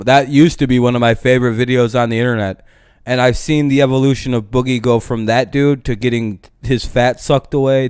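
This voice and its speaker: A man's voice: medium pitch, darkish tone, smooth, no echo, studio quality. A man in his twenties chatting casually on a livestream, rambling and profane, often stopping to restart his sentences. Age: 20s. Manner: rambling, profane, self-interrupting. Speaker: livestream host.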